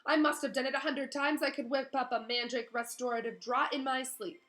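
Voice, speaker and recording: A woman puts on a vain male wizard professor from a storybook. He brags brightly and pompously, pitched a little high, pushing himself forward with great self-importance. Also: flat delivery, a little fast. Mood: eager bragging. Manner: pompous, showing off, butting in.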